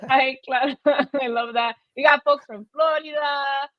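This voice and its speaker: High-voiced upbeat woman hosting, dull lo-fi audio. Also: steady tone, a little slow, no echo. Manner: upbeat, engaging, mixes Spanish phrases into English. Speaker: host of a livestream chat show. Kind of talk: casual chat, welcoming an online audience.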